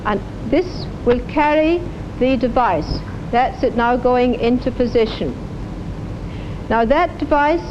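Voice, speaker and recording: A woman doctor explaining a treatment in a measured, instructive way as a live television demonstration. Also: sits high. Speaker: doctor presenting radiotherapy treatment. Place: hospital radiotherapy department. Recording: dull, noisy 1950s television recording.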